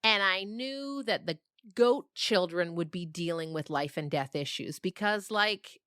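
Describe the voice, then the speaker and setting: Bright-voiced woman telling stories, close and dry. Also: fairly low pitch, unhurried, clean tone, dry recording. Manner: emphatic, often checks in with "right?". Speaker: interviewed novelist. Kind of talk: animated conversational storytelling.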